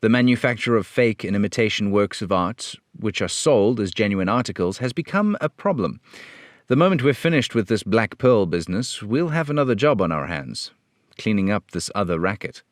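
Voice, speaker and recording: A man playing an earnest, fatherly police detective in an old radio serial style, measured and authoritative. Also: fairly low pitch, lively intonation, dry recording, clean studio sound, close to an everyday voice. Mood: earnest, businesslike.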